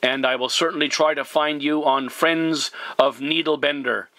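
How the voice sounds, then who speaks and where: A man talking as a CB radio operator, courteous and formal, deliberately repeating himself in radio procedure style. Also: a little high, fairly even intonation, bright, forceful tone, no echo, rough recording. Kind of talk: radio contact talk, spelling in phonetic alphabet.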